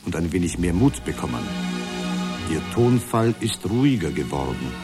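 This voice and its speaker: An actor playing a calm therapist in a radio drama, speaking in measured, formulaic reflections. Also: male voice, low-pitched, loud music behind the voice. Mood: measured, reassuring. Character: male talk therapist, calm, formulaic, parroting his client.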